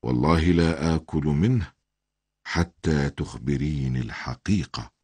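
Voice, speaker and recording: A man voicing a patient, devout, suffering old prophet in a cartoon, solemn and formal, with a deep husky voice. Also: unhurried, darkish tone, in a large hall, full character voice. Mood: stern questioning.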